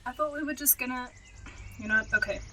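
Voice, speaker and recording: A woman's voice: high voice, a little fast, background noise, lightly acted. Distant-miked, hesitant young cleaner played by a woman. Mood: polite, awkward. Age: teenager. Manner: polite, hesitant. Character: polite, hesitant, hard-up parent working as cleaner. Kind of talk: amateur radio drama acting.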